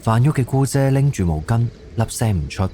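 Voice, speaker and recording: A man's voice: mid-range voice, lively intonation, fairly quick, dark tone, slight background noise. A man with a Cantonese accent narrating a scripted story for an audio drama.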